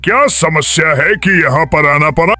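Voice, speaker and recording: Man voicing cartoon hermit sage, high-pitched, bright, solemn, stern, grand drawn-out pronouncements. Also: soft background music. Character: stern hermit sage granting boons in the forest. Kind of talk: Hindi cartoon moral-tale voice acting.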